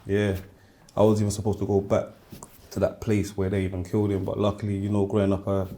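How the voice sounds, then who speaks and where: South east london guy, really dark mellow low voice, flat delivery, slangy podcast chat. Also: quick pace. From Woolwich, London; born in France. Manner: slangy, uses tags like "innit" and "you get me". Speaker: podcast guest. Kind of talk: casual chat, street slang.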